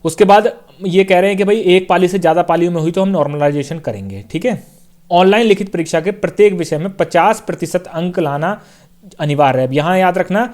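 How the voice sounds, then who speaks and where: A man's voice: high voice, darkish tone. A young man, an exam coaching teacher, casually walking viewers through a job notice, repeating points and checking in often. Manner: casual, repeats points, fills with "theek hai" and "bhai". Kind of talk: explaining a job notification on screen.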